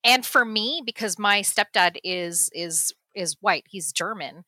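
Chatty adult woman, bright voice, dry close sound, casual movie-review guest, lots of restarts. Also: medium pitch, unhurried, no echo. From United States. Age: adult parent. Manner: chatty, laughing, many restarts.

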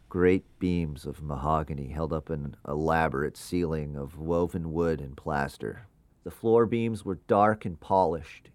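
Deep-voiced ghost hunter narrator. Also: male voice, no echo. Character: brooding male ghost hunter narrating his investigation in first person. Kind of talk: scripted first-person audio drama narration.

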